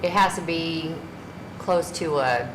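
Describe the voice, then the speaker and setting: A woman's voice: sits low, takes it slowly. A woman on a county body speaking at a public meeting, hesitant and searching for words. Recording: echoey hall with background noise.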